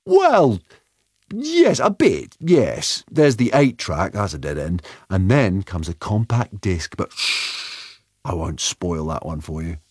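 A man playing an excitable, kindly alien time traveller who rambles enthusiastically in an audiobook story. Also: low voice, moderate pace, few pauses, breathy edge, dry recording, clean studio sound. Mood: excited, rambling.

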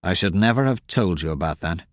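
A man voicing a cold, sardonic young male thief who talks in a dry, clipped, deadpan way. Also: sits low, fairly quick, dry recording, pitched below the performer's own voice.

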